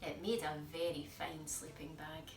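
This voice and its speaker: A woman narrating a children's storybook as a storytime presenter, expressive and speaking to young listeners. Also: sits low, normal speed. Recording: echoey room, distant mic, music behind.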